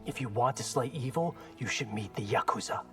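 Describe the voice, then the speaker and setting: A man's voice: medium pitch, brisk, fairly bright tone, soft background music, lightly acted. A man voicing a cocky, wry young fighter who trades casual quips in video game dialogue. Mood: casual, dry.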